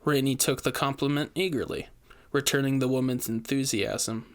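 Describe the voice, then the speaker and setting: A man narrating a scripted first-person gothic mystery story in a measured, brooding manner. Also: medium pitch.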